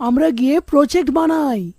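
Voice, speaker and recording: An eager young male student from a children's cartoon, acted by a woman. The voice is high and closely recorded. He is playful, excitable and stubbornly insistent. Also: fairly quick, no room sound, studio quality. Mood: excited, insistent.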